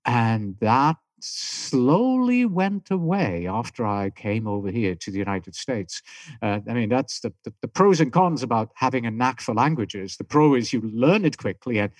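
A man's voice: medium pitch, moderate pace, no room sound. A middle-aged man with a mixed British and German accent, a native German speaker, tells his story in an easy, rambling way, often restarting a sentence. The recording is dry and close, with almost no room sound. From Switzerland. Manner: relaxed storytelling, frequent restarts. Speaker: podcast guest. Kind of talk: casual interview chat.

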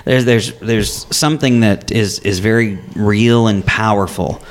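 A man hosting a business radio interview in a chatty, animated way, with a fairly high speaking voice for a man. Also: unhurried. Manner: chatty, animated, frequent restarts. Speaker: radio show host. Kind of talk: casual interview.

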